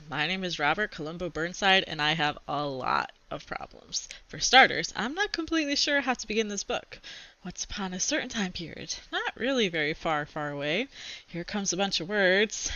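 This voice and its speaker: A woman's voice: mid-range voice, very animated, moderate pace, bright, forceful tone, no room sound, lo-fi sound. A woman voicing an exasperated, self-deprecating schoolboy who narrates a comic storybook with wry complaints. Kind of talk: expressive storybook read-aloud.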